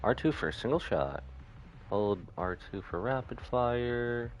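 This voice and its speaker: A man's voice: mid-range voice, animated, a little slow, highs cut off, a little hiss or hum. A man giving casual live gameplay commentary as a streamer, informally thinking aloud. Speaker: video game streamer. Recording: game music behind. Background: video game music.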